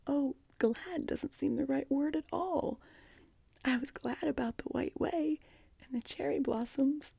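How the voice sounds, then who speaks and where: A woman voicing an imploring, imaginative orphan girl in an audiobook, earnest, wistful and breathy. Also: sits low, warm tone, no room sound, heavily acted. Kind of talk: audiobook character voice.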